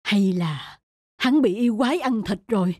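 A woman voicing a scheming, cowardly village man in an animated folktale, theatrical and pleading. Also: medium pitch, normal speed, a little hiss or hum, close to an everyday voice. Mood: fearful. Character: scheming, cowardly village man betraying his sworn brother. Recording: music behind the dialogue.

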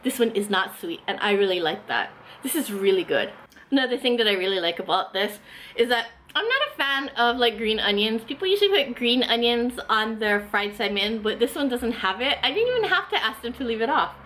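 A woman's voice: a little high, animated, fairly quick, a bit bright, a little hiss or hum. A woman in her thirties chatting enthusiastically while tasting and reviewing food indoors, with lots of casual fillers. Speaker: food vlogger. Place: small indoor room, eating at a table.

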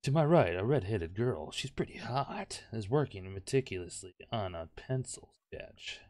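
A man's voice: on the low side, expressive, moderate pace, a little mellow, no echo, clean studio sound. A man hosting a gaming video, reading a visual novel aloud with crude, jokey asides. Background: game music.

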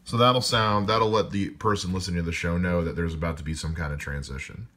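Very deep-voiced man explaining audio edits. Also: a little fast. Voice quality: loud voice. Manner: calm step-by-step explaining. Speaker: podcast editor. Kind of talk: explaining a screen-recorded editing walkthrough.

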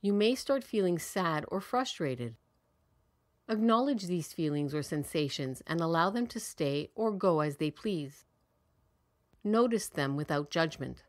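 A woman leading a guided meditation in a calm, soothing, measured way with long pauses between phrases. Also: on the low side.

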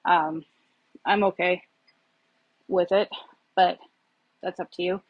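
Thirties woman vlogging in her kitchen, slightly breathy, loose and chatty with fillers, phone on the counter. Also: on the low side, steady tone, slow pace, highs cut off. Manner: chatty, rambling, many fillers. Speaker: home cook vlogger. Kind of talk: casual cooking demo. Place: home kitchen, indoors. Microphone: phone camera on the counter.

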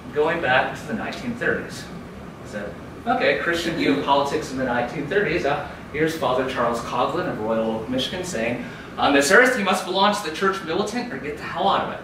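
A man lecturing from the front of a dark conference room. The echoey, roomy sound comes from a camera far across the room, with steady background noise. His voice climbs and gets louder whenever he reads a quote aloud. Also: moderate pace, pauses often. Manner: raises pitch and volume when reading quotes aloud. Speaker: keynote speaker.

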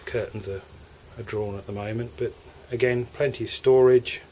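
A man with an English accent walks through the cabins of a moored motor yacht, narrating a sales tour in a relaxed, hesitant way. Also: medium pitch, normal speed, slight background noise, poor audio. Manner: relaxed, hesitant, with fillers and restarts. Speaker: yacht broker. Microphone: handheld camera mic.

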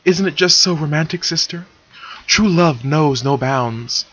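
A man's voice: on the high side, measured pace, fairly bright tone, slightly rough, dull audio, pitched above the performer's own voice. A man playing a gushing pony princess. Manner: gushing and gleeful. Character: gushing princess, a romantic younger sister.

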